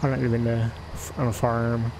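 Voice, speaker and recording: A man's voice: mid-range voice, flat delivery, moderate pace, background noise. A man with a West Country English accent chatting casually while streaming a game, rambling and laughing often. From England. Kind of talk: casual live-stream chat.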